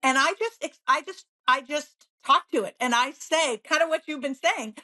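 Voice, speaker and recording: Woman, real estate agent, high-pitched, bright, bubbly, positive, storytelling. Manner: bubbly, upbeat, quick to laugh. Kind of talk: conversational interview.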